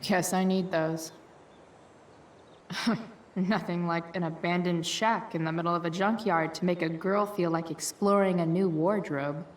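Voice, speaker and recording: A woman's voice: on the low side, steady tone, a little slow, slight background noise, lightly acted. A young woman playing a sarcastic, rebellious teenage punk girl in a video game, with casual slangy lines over game ambience. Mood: wry musing. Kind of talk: video game dialogue and inner monologue.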